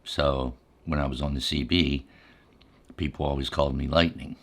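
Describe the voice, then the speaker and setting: A middle-aged man telling casual stories in an ASMR style, unhurried and halting as he reminisces. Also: rumbling low, fairly even intonation. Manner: unhurried, halting, reflective recollection. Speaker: ASMR storyteller. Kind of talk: ASMR casual storytelling while eating.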